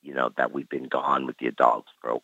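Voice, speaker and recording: A man's voice: extremely low pitch, level tone, fast talker, bright, forceful tone, no room sound. A man in his forties who grew up in Canada, reflecting easily on his dance career as an interview guest. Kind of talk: casual interview talk. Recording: dry, dull lo-fi recording.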